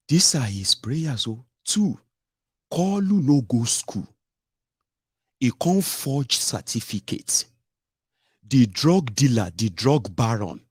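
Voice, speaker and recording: A man's voice: medium pitch, a little mellow, slightly rough, no room sound, clean studio sound. A middle-aged Nigerian man giving combative, mocking political commentary with rhetorical asides. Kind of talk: opinionated solo commentary.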